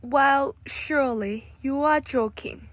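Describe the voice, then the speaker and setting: A stern college registrar played by a woman speaks in a high voice at a slow, emphatic pace. She is formal and scornful, a radio drama official who doubts what she is told, recorded close and dry. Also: dry recording. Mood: incredulous. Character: stern, disbelieving female college registrar, quick to indignation. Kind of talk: amateur radio drama acting.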